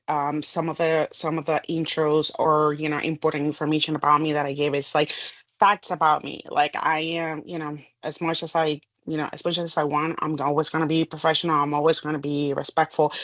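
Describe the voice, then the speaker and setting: A woman's voice: deep voice, no room sound. A woman psychologist from Puerto Rico answering interview questions thoughtfully, with many asides. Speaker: psychologist guest.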